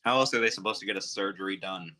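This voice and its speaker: A man's voice: low voice, quick pace, sharp tone. A man chatting casually and interjecting comments as co-host of a comic readalong.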